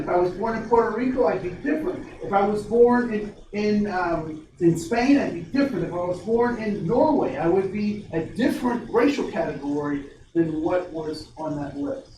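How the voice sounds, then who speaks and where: A man's voice: high voice, normal speed, few pauses. A man in his forties from Ohio, leading a diversity workshop with energy and quizzing his audience. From Ohio, USA. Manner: energetic, questions the audience, frequent restarts. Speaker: diversity trainer. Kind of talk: interactive workshop talk. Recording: very echoey, distant, faint noise.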